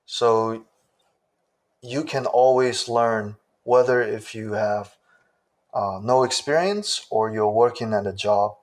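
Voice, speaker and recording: A man from southern China answering interview questions in a measured way, pausing often with "uh". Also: lowish voice. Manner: slow, measured, with frequent "uh" pauses. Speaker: interview guest.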